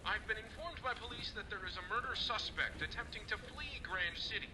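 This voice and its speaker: A man's voice: high-pitched, animated, brisk, over music. A man playing a formal, urgent railway control announcer in an old-time radio drama. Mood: official, urgent. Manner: official, urgent.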